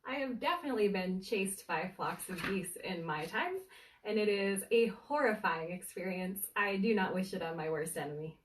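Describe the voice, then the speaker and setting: A woman reads a humorous story aloud as a storyteller, in a deliberate, dramatic way with suspenseful pauses. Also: medium pitch, expressive, slight background noise. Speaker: bookseller storyteller reading aloud. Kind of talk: animated bedtime story reading of a humorous book. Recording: roomy, echoey, distant from the mic.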